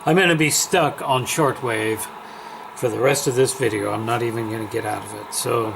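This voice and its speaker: Gravelly man reviewing gear over clear background noise. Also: mid-range voice, steady tone, moderate pace. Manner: unscripted thinking aloud while working. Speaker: hobbyist product reviewer. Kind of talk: casual unboxing and hands-on review. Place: inside a house, under a kitchen-type cupboard. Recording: clear radio static and background hiss.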